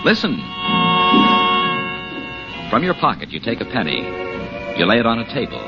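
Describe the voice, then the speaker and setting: A man's voice: mid-range voice, wildly swooping pitch, normal speed. A man announcing and narrating in scripted 1940s radio style, dramatic and emphatic. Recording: muffled old radio recording, music behind. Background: music.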